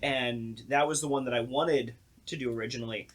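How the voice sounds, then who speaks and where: A man's voice: mid-range voice, steady tone, a little fast. A man chatting casually and informally as the director of a radio play, with a sardonic, joking streak. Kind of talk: casual group podcast chat.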